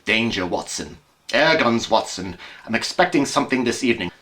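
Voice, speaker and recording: Man playing a brisk, wry, animated detective. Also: on the low side. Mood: grave, wry. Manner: emphatic, wry, theatrical. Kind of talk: amateur audio drama acting.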